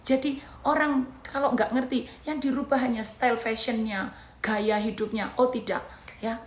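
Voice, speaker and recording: A woman's voice: on the high side, fairly even intonation, normal speed, roomy sound, a little hiss or hum. A woman in her thirties from Indonesia with a Javanese accent, giving an emphatic motivational talk and repeating her points in lists. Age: 30s. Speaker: motivational vlogger.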